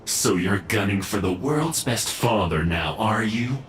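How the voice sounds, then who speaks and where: Slow, sneering game henchman. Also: on the low side, expressive. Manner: drawling, sarcastic. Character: sneering male henchman, taunting bully. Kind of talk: video game voice acting. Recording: roomy echo.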